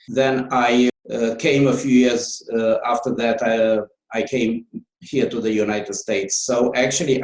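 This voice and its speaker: A man's voice: medium pitch, a little mellow, roomy sound, low-quality recording. A man with a Romanian accent, a guest author answering interview questions in a hesitant way, with many fillers and restarts.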